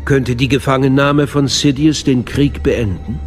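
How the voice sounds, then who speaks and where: An actor playing a dignified older chancellor in a radio play, with a formal, measured manner. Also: male voice, mid-range voice, steady tone, soft background music, natural voice. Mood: conciliatory. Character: dignified, smooth-talking older male chancellor. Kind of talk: dramatic radio play acting.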